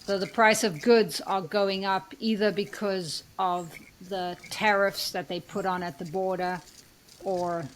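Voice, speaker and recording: A woman's voice: medium pitch, fairly even intonation, unhurried. Woman podcast host, faint background noise. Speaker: podcast host interviewing a guest. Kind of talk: conversational interview.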